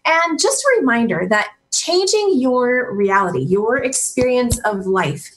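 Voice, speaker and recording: A woman's voice: fairly high pitch, very animated, measured pace, fairly bright tone, rough recording. A woman hosting a radio interview show in a casual, encouraging way. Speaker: radio show host and coach.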